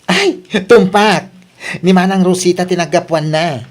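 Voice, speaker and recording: A woman's voice: fairly low pitch, measured pace, natural voice. A woman playing a showy, boastful neighbour in a radio comedy drama.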